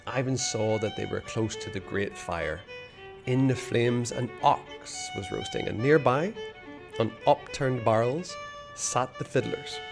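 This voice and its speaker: A man reading a children's novel aloud, expressive and hushed in the dark passages. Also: mid-range voice, vocal fry. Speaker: storyteller.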